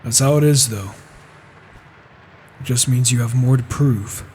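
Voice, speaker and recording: A man's voice: medium pitch, measured pace, warm tone, lightly acted. A man roleplaying an impatient, proud, reclusive warrior in a video game, talking in a grumbling, questioning way. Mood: grumbling, determined. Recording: faint noise, rain behind, mic issues.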